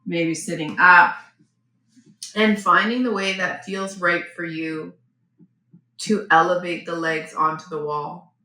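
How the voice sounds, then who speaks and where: A woman yoga teacher giving gentle, unhurried guided breathing and posture instruction, with pauses between phrases. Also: lowish voice, fairly even intonation. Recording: very echoey room, faint background noise.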